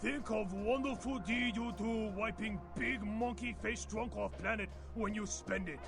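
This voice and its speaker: A man voicing a boastful, newly rich old man in a video game, creaky and crowing in broken English. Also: sits high, fairly even intonation, a little slow. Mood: indignant, grandiose. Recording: music behind the voice.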